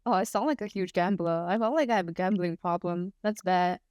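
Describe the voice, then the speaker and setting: A woman's voice: on the low side, a little fast, darkish tone, clear, resonant tone, no room sound. A young woman from Singapore with a mixed, partly Singaporean accent, rambling casually as a streamer while she plays, often laughing and pleading playfully. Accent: mixed, partly Singaporean English. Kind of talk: casual livestream chat while gaming.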